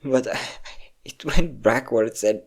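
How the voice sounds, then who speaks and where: A man playing a depressed young man who tearfully confides in his best friend, halting and self-deprecating, in an intimate audio roleplay. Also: medium pitch, darkish tone, husky. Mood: vulnerable, halting. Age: young adult.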